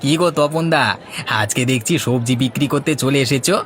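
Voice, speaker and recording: Actor as envious fish seller, crowd behind. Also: male voice, mid-range voice, brisk, a little hiss or hum, close to an everyday voice. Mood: teasing. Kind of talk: Bengali moral-story cartoon dubbing.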